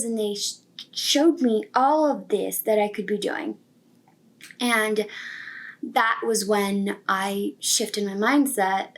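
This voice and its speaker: A woman in her twenties from Brazil, a native Portuguese speaker, telling her own story in a casual, informal and emphatic way. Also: mid-range voice. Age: 20s. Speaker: software engineering student telling career story.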